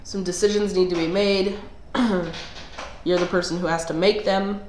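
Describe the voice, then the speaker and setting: A woman's voice: lowish voice, fairly even intonation, normal speed, echoey room, noisy background. A woman doing a casual tarot reading who sounds slightly nasal and under the weather, thinking aloud with fillers and restarts. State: under the weather, clearing throat. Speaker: tarot reader.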